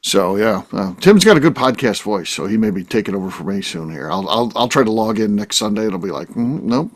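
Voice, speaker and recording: A man's voice: on the low side, brisk. A man co-hosting a podcast, reading sponsor spots and joking with guests in casual chat. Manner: lively, joking, reads sponsor ads. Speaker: podcast co-host.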